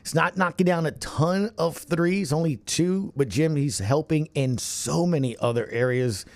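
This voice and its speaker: A man's voice: on the high side, unhurried, a little mellow, dry recording. A man hosting an upbeat sports podcast, talking conversationally in punchy phrases and tossing out questions. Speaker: podcast host.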